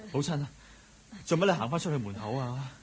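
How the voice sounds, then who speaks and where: An actor playing an anxious, dutiful grown son in a Hong Kong Cantonese radio drama, urgent and worried. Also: male voice, medium pitch, animated, quick pace, darkish tone, a little hiss or hum, lightly acted. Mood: concerned.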